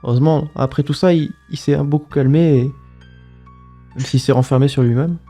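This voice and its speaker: A man voicing a frail, forgetful old grandmother in a care home, speaking slowly and hesitantly in a tabletop role-play. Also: a little high, steady tone, warm tone, faint music behind, natural voice.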